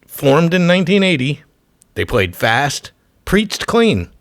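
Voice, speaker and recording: A man's voice: a little high, big pitch swings, slow pace, loud music behind the voice. A man narrating a documentary from a script in short, emphatic, profane phrases.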